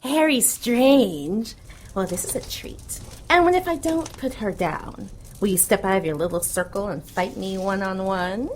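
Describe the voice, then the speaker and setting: A woman playing an ancient, menacing demon witch in an audio drama, taunting her foes with slow, imperious theatricality. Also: medium pitch, expressive, a little hiss or hum, natural voice. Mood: mocking menace. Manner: slow, mocking, imperious delivery.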